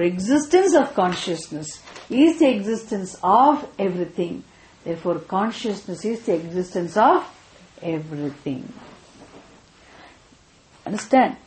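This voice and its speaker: A woman's voice: on the low side, a little mellow, reverberant, rough recording. A middle-aged woman from Tamil Nadu with a Tamil Indian English accent, teaching spiritual philosophy. She checks with her listeners often and repeats key phrases. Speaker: Vedanta teacher. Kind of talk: spiritual class talk.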